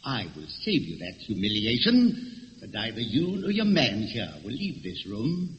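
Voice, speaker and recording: Cold, mock-polite gentleman killer for radio thriller; animated, commanding delivery on dull old recording. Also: medium pitch, measured pace, long reverb tail, a little hiss or hum. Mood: cold menace. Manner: menacing, mock-courteous, commanding. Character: cold, arrogant gentleman murderer holding captives at gunpoint.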